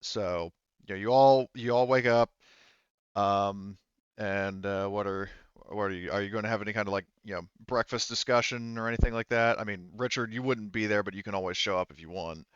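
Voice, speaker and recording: A man casually running a tabletop roleplaying game as game master, halting and thinking aloud as he goes. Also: fairly low pitch, clear, resonant tone, dry recording, highs cut off.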